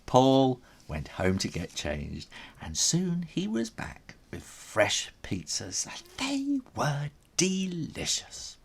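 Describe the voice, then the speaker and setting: A man's voice: mid-range voice, huge pitch swings, takes it slowly, creaky, breathy edge. A man reading a children's story aloud as its author, theatrical and suspenseful, drawing out his words.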